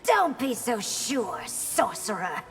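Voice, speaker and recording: A woman playing a proud, vengeful young empress in a video game, coolly taunting and threatening in a deliberate way. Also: a little high, sing-song, soft background music. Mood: cool threat. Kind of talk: video game drama acting.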